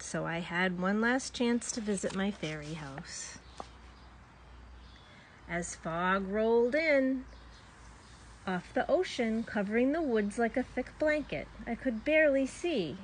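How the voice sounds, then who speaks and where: A woman's voice: mid-range voice, slight background noise, low-quality recording. A woman reading a children's story aloud expressively, drawing out words for young listeners.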